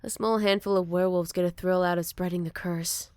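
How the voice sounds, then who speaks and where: A woman voicing a gentle, motherly older werewolf, wistful and protective, in a soft and caring ASMR roleplay. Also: on the low side, steady tone, clear, resonant tone, no echo, lightly acted. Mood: wry, reflective. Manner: soft, caring, unhurried, slipping into whispers. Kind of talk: ASMR roleplay, intimate one-sided dialogue to listener.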